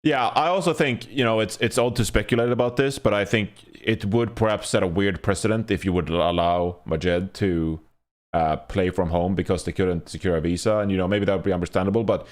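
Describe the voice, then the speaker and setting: A man hosting a casual esports podcast panel, talking in a measured, often hedging way. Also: deep voice.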